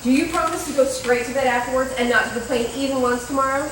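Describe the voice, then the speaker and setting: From far off in a very echoey, noisy hall, an actress plays a practical campout mother. Her voice is high and matter-of-fact in a live family drama. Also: fast talker, lightly acted. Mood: firm, indulgent. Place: live show at a recreation hall.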